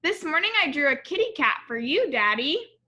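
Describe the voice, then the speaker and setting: An eager, curious little storybook girl, voiced by a woman reading aloud, with a high, bright voice. She speaks earnestly and expressively. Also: normal speed, highs cut off, full character voice. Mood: eager, proud. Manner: earnest, expressive, addressing her father.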